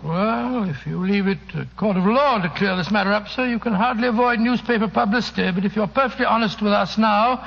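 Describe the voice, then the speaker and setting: High, creaky, blustering old gentleman. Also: brisk, few pauses. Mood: earnest. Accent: British. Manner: blustery, stammering. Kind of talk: 1940s radio drama acting. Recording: noisy, muffled old radio recording.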